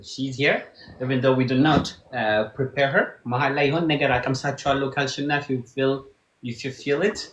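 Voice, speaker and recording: A man's voice: mid-range voice, a bit bright. A middle-aged man from Ethiopia with an Ethiopian accent, hosting an informal live show in an encouraging, meandering way. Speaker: host. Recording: echoey room sound.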